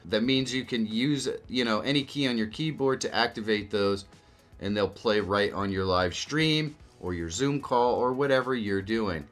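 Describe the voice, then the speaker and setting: A man hosting a casual software walkthrough as a video tutorial. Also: fairly low pitch, moderate pace. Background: background music.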